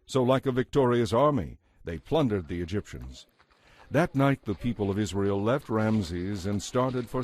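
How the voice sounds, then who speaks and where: A man with a medium-pitched voice narrates scripture for an audio dramatization. His delivery is solemn, formal and measured, carrying authority, with faint music playing behind him. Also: darkish tone. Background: background music.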